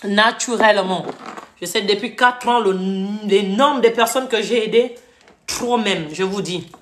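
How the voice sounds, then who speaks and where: A woman's voice: medium pitch, unhurried, a bit bright. A woman from Gabon hosting a live stream, scolding viewers in a heated, repetitive rant. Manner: scolding, repetitive, switches to English briefly. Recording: echoey room.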